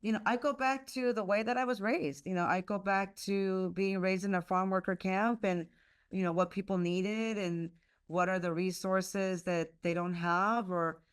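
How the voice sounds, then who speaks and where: A woman's voice: medium pitch, steady tone, moderate pace, clear, resonant tone. A woman who grew up speaking Spanish, answering interview questions earnestly over a video call, with many fillers and restarts. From United States. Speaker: leader of a leadership institute, guest.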